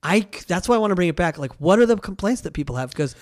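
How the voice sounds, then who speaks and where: A man's voice: sits high, lively intonation, quick pace. A man co-hosting a casual podcast chat, eager and enthusiastic, his voice fading from a cough. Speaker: podcast co-host.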